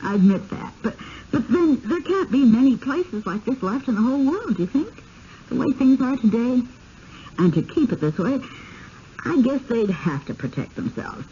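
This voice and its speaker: Placating, high-voiced wife. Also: female voice, very animated, moderate pace, mellow. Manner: hesitant, placating. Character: mild, conciliatory wife smoothing over her husband's temper. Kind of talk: vintage radio drama acting. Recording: dull, faintly noisy 1970s radio broadcast.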